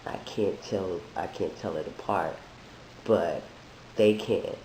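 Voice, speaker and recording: A man who is sick, talking in a halting, thoughtful way with many restarts, in a reflective personal monologue. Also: deep voice, a little mellow. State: sick, voice deeper than usual. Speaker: vlogger sharing a transition update. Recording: echoey room with faint hiss.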